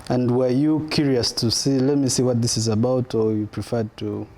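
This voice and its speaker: A man's voice: mid-range voice, little pitch movement, normal speed, mellow. A man hosting an interview, asking probing questions and often finishing his guest's sentences. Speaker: interviewer host. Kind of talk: conversational interview.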